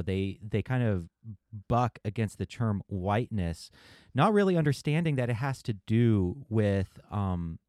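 A man's voice: lowish voice, darkish tone, dry recording, clean studio sound. A man hosting an interview who answers his guest thoughtfully, with "I mean" and "you know" fillers. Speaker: podcast host. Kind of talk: conversational interview.

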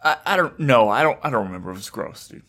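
A man's voice: mid-range voice, lively intonation, quick pace, fairly bright tone. A man in his thirties chatting casually over a video call, swearing freely and restarting his sentences. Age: 30s. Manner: profane, rambling, restarts a lot. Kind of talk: casual chat.

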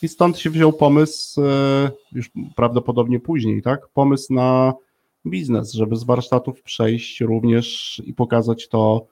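A man's voice: mid-range voice, fairly even intonation, slow pace, darkish tone. A man hosting a casual interview in a chatty, rambling, hesitant way. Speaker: podcast host.

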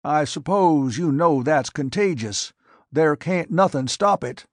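A man's voice: a little high, lively intonation, measured pace, no room sound, studio quality, pitched above the performer's own voice. A man voicing a stubborn, grizzled old male farmer who speaks with a rural drawl and folksy grammar.

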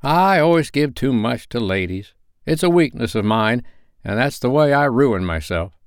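A crafty old rag-and-bone man who haggles and wheedles, as a male reader puts him on. The voice sits raised above the reader's own and moves lively. It comes across smooth and clear. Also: medium pitch, moderate pace, no room sound, studio quality, pitched above the performer's own voice, close to an everyday voice. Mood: haggling. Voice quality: wheedling, chuckling.

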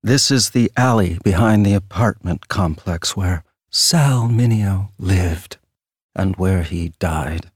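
An actor playing a flippant, showy true-crime tour guide, narrating with wry theatrical flair. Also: male voice, low voice, slightly rough, dry recording, studio quality, heavily acted. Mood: wry, eerie. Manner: theatrical, wry, drawn-out storytelling.

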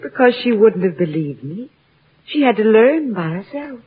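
A woman's voice: medium pitch, very animated, moderate pace, slightly rough. An actress playing a serene, wise and kindly good witch in an old radio drama. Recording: tinny old radio recording.

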